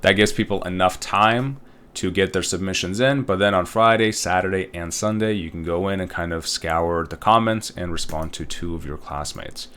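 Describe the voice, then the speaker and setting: Low-voiced casual college lecturer. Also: male voice, normal speed, a bit bright, clean tone. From Uzbekistan. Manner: casual, rambling, with many fillers and tag questions. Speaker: college history instructor. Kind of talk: recorded online course walkthrough.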